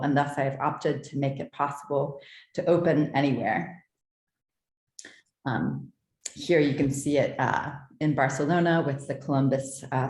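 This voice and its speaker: A woman from the southeastern United States presents her own art in a prepared talk, with measured, articulate, formal delivery. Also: extremely low pitch, a little mellow. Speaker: artist presenting own work. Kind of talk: prepared artist talk, read from notes. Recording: roomy, echoing sound.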